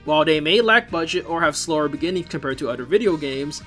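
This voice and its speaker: A man's voice: fairly high pitch, fast, a bit bright. A man hosting a video essay, reading scripted narration in an informal, opinionated explainer manner. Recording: music behind the voice.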